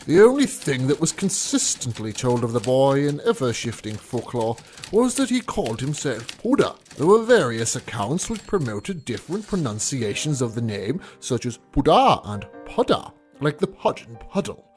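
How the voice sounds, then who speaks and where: A man reading a scripted literary tale as a podcast storyteller, with a measured, formal delivery. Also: medium pitch, big pitch swings, a little mellow, faint music behind.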